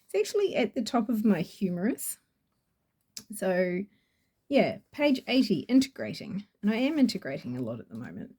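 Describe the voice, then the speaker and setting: A woman with a New Zealand accent chats through a product review, gushing and rambling with plenty of fillers. Her voice is mellow and warm, at a middling pitch, recorded close to the mic. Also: big pitch swings, moderate pace, husky. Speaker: oracle deck reviewer.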